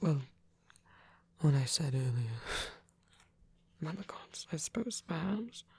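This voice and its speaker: A performer voicing a gentle, protective vampire priest in a soft, husky whisper, tender and hesitant, as intimate ASMR roleplay. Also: mid-range voice, very animated, unhurried, full character voice. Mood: shy, confiding.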